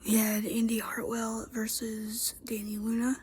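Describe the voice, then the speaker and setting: A woman's voice: a little high, monotone, a little slow, darkish tone, slightly rough. A woman vlogger with a congested voice, recapping a wrestling show in a chatty, rambling way with many fillers and restarts. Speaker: YouTube wrestling reviewer. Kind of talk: casual solo show recap.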